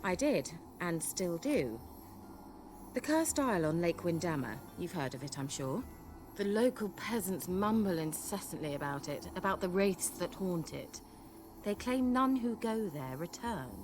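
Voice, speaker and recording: An actress playing a vain, sharp-tongued sorceress in a video game, speaking in a haughty, wry, coaxing manner. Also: female voice, lowish voice, big pitch swings, a little slow. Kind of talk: video game cutscene acting. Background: game soundtrack music.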